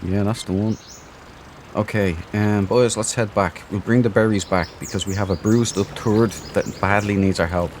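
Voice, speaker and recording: A man's voice: lowish voice, steady tone, moderate pace, a little mellow, slight background noise. A man hosting a let's play, thinking aloud in casual, cheeky, jokey commentary.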